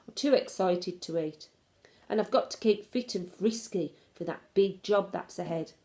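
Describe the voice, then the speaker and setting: A woman's voice: fairly low pitch, moderate pace, warm tone, natural voice. Woman as a plucky, earnest little mouse boy. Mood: nervous, excited. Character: plucky, eager young boy turned mouse.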